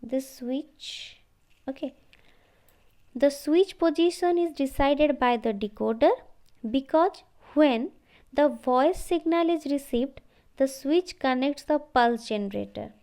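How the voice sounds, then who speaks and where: A woman giving an explanatory slide lecture, halting and repeating phrases as she goes. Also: high-pitched, warm tone. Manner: halting, repeats phrases, often says okay.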